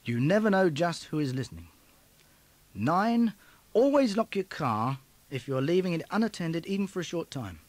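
A man narrating an instructional tape from a script in a clear, emphatic, instructive way. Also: on the high side, very animated, moderate pace, no room sound.

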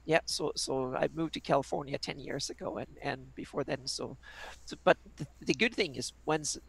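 A woman's voice: extremely low pitch, normal speed, vocal fry, husky, low-quality recording. A woman in her fifties from Sweden with a Swedish accent, chatting on a video call as a guest player and repeating words as she restarts. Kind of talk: casual chat on a game stream.

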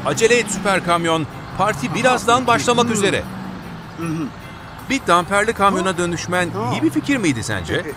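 A man's voice: high voice, very animated, normal speed, very noisy. A man narrating a children's cartoon in a cheerful, encouraging way, talking to the vehicles he helps. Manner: encouraging, talks to the truck and little cars. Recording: with loud background music.

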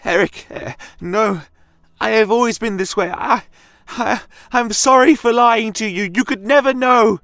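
A man voicing a frightened, gentle satyr mother who pleads haltingly in a fantasy audio story. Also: high voice, dry recording, studio quality, pitched above the performer's own voice. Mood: frightened, apologetic. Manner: halting, stammering. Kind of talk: fantasy audio drama acting.

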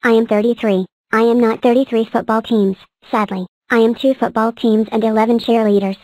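Higher female cartoon voice explaining sums, dry. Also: steady tone, a little fast, a little mellow, no room sound. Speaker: voice of number characters. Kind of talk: fan cartoon voice-over.

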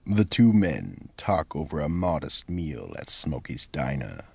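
A man with a deep gravelly voice reading scripted narration for a radio mystery drama. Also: sing-song, a little slow, a little mellow.

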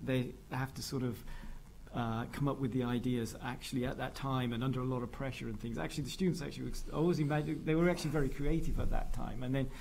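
A man's voice: mid-range voice, steady tone, quick pace, gravelly, a little mellow, slight background noise, low-quality recording. A man who teaches design, speaking hesitantly on a panel with many fillers and restarts. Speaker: design lecturer. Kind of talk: panel discussion. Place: large dark auditorium stage.

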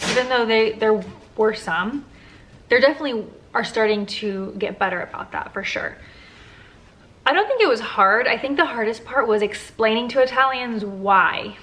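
A woman's voice: fairly high pitch, a bit bright. A woman in her thirties answering viewer questions to camera from her living room, candid and chatty with lots of "like" fillers. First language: English. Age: 30s. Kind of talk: casual Q&A chat to camera. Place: living room at home, indoors. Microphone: camera at close range.

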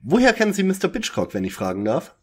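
A man's voice: high-pitched, wildly swooping pitch, quick pace, slightly rough, exaggerated voice. A man playing a skeptical, sarcastic young detective in a radio play parody. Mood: probing.

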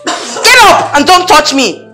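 A woman's voice: high-pitched, very animated, slow, pushed and bright, echoey room, faint music behind, heavily acted. An actress with a Nigerian accent playing a spoiled, short-tempered young madam in a screen drama, haughty and exasperated as she scolds. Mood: furious. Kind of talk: melodramatic screen drama acting.

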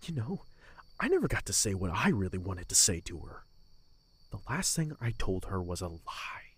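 A man playing a heartbroken, guilt-ridden young captain in a fantasy audio drama, speaking haltingly with raw emotion and a husky voice. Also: deep voice, sing-song, a bit bright, no room sound, heavily acted. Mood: guilty, regretful.

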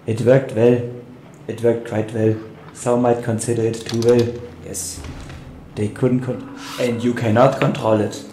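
Man voicing a slow, echoey creepy patient. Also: medium pitch, level tone, warm tone, slight background noise, close to an everyday voice. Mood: slow, ominous. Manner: halting, repetitive, ominous. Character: injured, creepy German-accented executive asylum inmate, slow and ominous. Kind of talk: amateur game mod voice acting.

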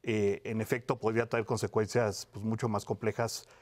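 A man, explaining as a studio guest in a halting, self-correcting way. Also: fairly low pitch, flat delivery. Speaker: academic researcher, studio guest. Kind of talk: televised panel interview, explanatory. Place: television studio panel desk. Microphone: lapel.

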